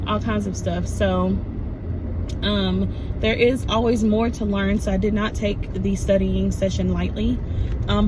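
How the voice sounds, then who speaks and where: A woman in her thirties chats casually to her phone camera while standing outdoors by her car. Her voice is slightly raspy after a coughing fit, and she rambles with many fillers. Loud street and traffic noise runs behind her. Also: medium pitch. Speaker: nursing student vlogger. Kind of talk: casual vlog chat.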